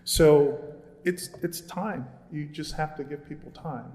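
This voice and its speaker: A man's voice: a little high, slow pace, darkish tone, a little hiss or hum. Earnest man speaking in an echoey gallery. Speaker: archive curator and panelist. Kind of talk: public panel talk. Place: gallery event space. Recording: echoey gallery room with long reverb.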